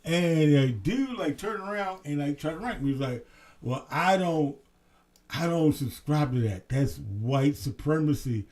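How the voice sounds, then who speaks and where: A man co-hosting a casual late-night talk show, telling stories in an animated way and swearing freely. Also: fairly high pitch, measured pace. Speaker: co-host.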